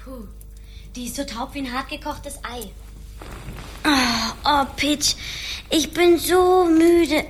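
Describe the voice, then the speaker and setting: A woman's voice: high-pitched, steady tone, takes it slowly, pauses often, soft background music. An actress playing a little girl, a naive and plucky younger sister, candid and childlike, in a radio play. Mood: sleepy, pouting. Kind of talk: German radio play acting.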